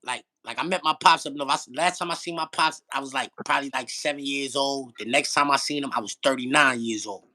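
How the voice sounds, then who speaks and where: A man's voice: medium pitch, fast talker, few pauses. A middle-aged man telling stories in casual, profane street slang, emphatic and repeating himself for effect. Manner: animated, emphatic, repetitive, profane.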